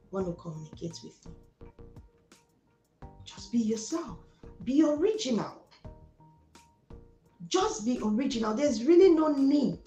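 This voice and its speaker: A woman's voice: a little high, darkish tone, reverberant. A woman with a Nigerian Igbo accent, a psychologist speaking as a guest, giving emphatic motivational advice, repeating words for stress and slipping into Pidgin. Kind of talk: motivational conversation.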